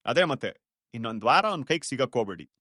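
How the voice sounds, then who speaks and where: A man's voice: medium pitch, big pitch swings, fast, pushed and bright, dry recording, studio quality. A man voicing a bossy, selfish young friend in a cartoon story, slangy and dismissive. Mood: scheming scorn. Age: young adult. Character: brash, selfish young ringleader of college friends. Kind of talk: cartoon short story dialogue.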